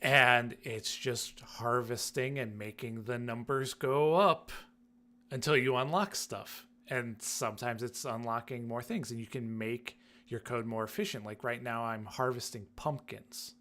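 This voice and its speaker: A man with a mid-pitched voice talks at a slow, unhurried pace. He co-hosts a gaming podcast and chats casually. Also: pushed and bright. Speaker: podcast co-host.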